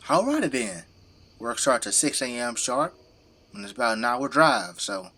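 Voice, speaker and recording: A man's voice: medium pitch, very animated, moderate pace, bright, forceful tone, breathy edge, heavily acted. An actor playing a middle-aged, devoted father and plain-spoken government zombie fighter in an audio drama. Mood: easygoing. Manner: commanding, plain-spoken, folksy turns of phrase. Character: gruff, devoted father working as a zombie-burning government janitor.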